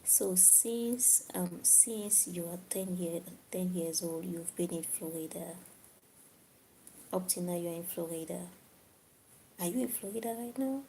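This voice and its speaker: A woman's voice: on the low side, unhurried, warm tone, a little hiss or hum, poor audio. A woman from Cameroon chatting casually with viewers on a livestream, musing aloud and often dropping to a whisper. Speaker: livestream host.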